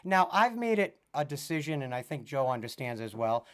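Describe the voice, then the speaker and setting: Casual podcast co-host, man, a touch hoarse, chatty and joking. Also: mid-range voice, sing-song, brisk. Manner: chatty, jokey, talks in long run-on sentences. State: slightly hoarse from a cold. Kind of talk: casual live-stream chat.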